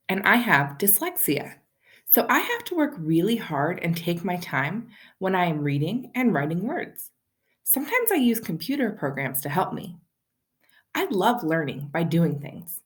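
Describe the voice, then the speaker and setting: A woman reading a children's picture book aloud expressively, as a storyteller speaking to young listeners. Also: mid-range voice. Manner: animated, addresses child listeners with questions.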